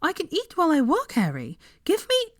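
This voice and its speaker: A woman's voice: extremely high pitch, wildly swooping pitch, moderate pace, voice pushed up, full character voice. A woman voicing an overworked, exhausted young woman who is stubborn, fretful and insistent. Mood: indignant, defensive.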